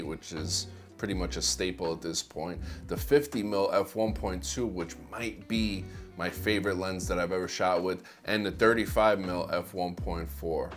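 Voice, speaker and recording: Man, very deep bassy voice, easygoing gear vlog talk, clip-on mic, soft music underneath. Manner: relaxed, enthusiastic product talk. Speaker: videographer vlogger. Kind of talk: casual gear rundown. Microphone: wireless clip-on mic.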